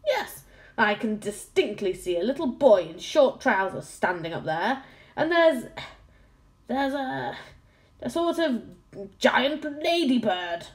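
A woman's voice: fairly high pitch, slow, pitched above the performer's own voice. Woman playing a blustering old sea captain. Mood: frantic. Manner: excitable, stammering exclamations. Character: flustered old male ship captain, blustering.

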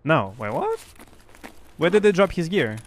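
A young man whose first language is Russian, giving excited, boastful live commentary on his game to his chat through a headset. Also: fairly high pitch, unhurried, noisy background. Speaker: streamer.

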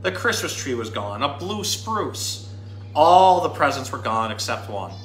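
A man in his thirties reading a children's story aloud in an animated, dramatic storytime manner. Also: mid-range voice, measured pace. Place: indoor room. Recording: roomy indoor sound with faint noise.

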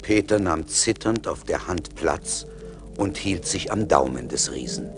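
Deep, slow male storyteller over loud music. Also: animated. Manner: measured, dramatic storytelling. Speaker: narrator. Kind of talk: scripted fairy-tale narration. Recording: 1980s vinyl radio play with music.

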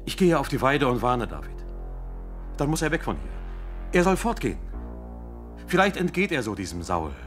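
A man's voice: mid-range voice, sing-song, moderate pace, long pauses, a bit bright, faint music behind. An actor playing an urgent, protective eldest son, a young man in a biblical radio play. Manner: urgent, agitated. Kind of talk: German radio play acting.

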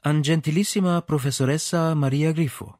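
Brisk policewoman, read by a man, dry sound. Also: fairly high pitch, fairly even intonation, a little mellow, no room sound, voice pushed up, lightly acted. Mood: matter-of-fact. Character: businesslike, persistent policewoman questioning witnesses.

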